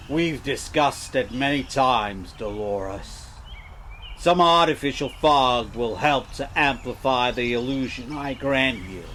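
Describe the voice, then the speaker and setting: An actor playing a scheming small-town entrepreneur in a comedy audio drama, measured and persuasive, hedging as he goes. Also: medium pitch, fairly bright tone, a little hiss or hum. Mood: boastful.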